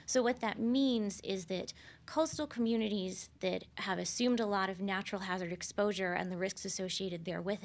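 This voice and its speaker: A soft-spoken woman speaking as a panelist at a press event, explaining policy in a measured way into a table microphone. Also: medium pitch, creaky. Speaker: panelist, researcher. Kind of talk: panel discussion remarks. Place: conference room at a press event.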